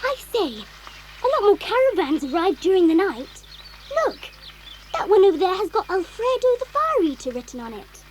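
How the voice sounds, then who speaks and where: A woman's voice: very high voice, very animated, normal speed, a little hiss or hum. A woman voicing a forthright, observant child in a children's audio drama. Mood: excited.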